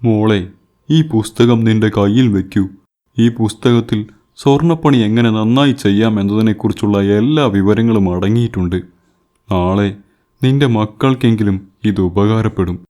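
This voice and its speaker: A man playing a gentle, patient, ageing goldsmith father in a children's story, speaking in a measured, advising way. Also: fairly low pitch, lightly acted. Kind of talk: scripted children's story acting.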